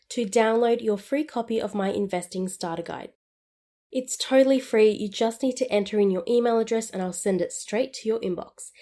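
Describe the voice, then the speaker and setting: A woman in her twenties hosts a scripted solo podcast with an upbeat, friendly manner. Her voice has a soft, mellow tone at a middling pitch. She explains each step clearly and evenly. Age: 20s. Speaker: podcast host.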